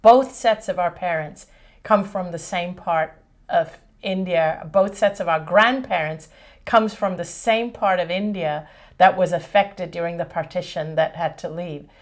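A woman's voice: lowish voice, a little slow. A middle-aged woman from Hong Kong hosting a casual chat indoors, talking easily, searching for words now and then and laughing readily. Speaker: host. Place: indoor studio set with a painted brick wall.

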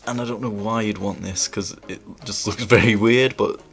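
A man's voice: lowish voice, fairly even intonation, moderate pace, gravelly, soft background music. A man with a cold and a congested voice, chatting enthusiastically while reviewing toys at a table indoors. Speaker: toy reviewer. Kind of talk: casual toy review. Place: indoors at a table, hands on camera.

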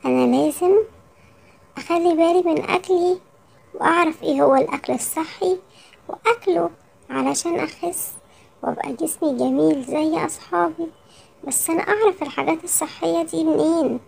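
A woman's voice: very high-pitched, fairly quick, vocal fry, a little mellow, exaggerated voice. A woman voicing a greedy, whiny, chubby young lion cub boy with an Egyptian accent for a children's cartoon story. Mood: dismayed, resolving. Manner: childlike, emphatic repetition.

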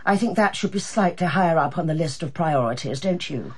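An actress playing a sharp-tongued older female Prime Minister in a radio drama, with a clipped, commanding English accent and a condescending manner. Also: low voice, animated, a little fast, husky. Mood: imperious, needling.

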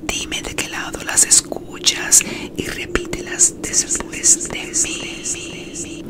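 A middle-aged woman whispers right up at the microphone as a playful vampire doctor. Her delivery is very slow and soothing, with a theatrical touch, in the style of an ASMR medical exam. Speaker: ASMR performer. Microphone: close studio microphone on desk.

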